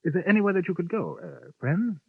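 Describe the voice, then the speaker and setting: An actor with an English accent playing a polite, dryly understated gentleman in the Old West, in a 1950s radio drama. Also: high-pitched, animated, brisk, gravelly, slightly rough, no echo, full character voice. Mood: cool. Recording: dull lo-fi 1950s radio recording.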